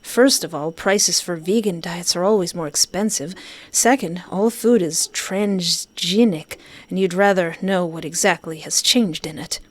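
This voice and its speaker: A woman's voice: fairly low pitch, a little slow. A woman reading a visual novel aloud expressively, with casual reactions of her own. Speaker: game player reading and reacting. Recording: music behind the voice.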